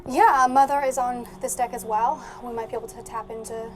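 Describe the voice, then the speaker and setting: A woman's voice: a little high, steady tone, a little fast, a little hiss or hum. A woman playing a tabletop role-playing game, talking casually and thinking aloud as she goes. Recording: loud music behind the voice.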